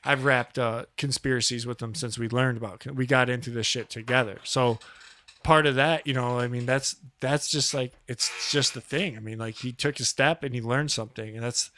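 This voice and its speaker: A man's voice: mid-range voice, steady tone, moderate pace, pushed and bright. A man co-hosting a podcast in casual chat, laid-back and agreeable, with hesitant restarts. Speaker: podcast co-host.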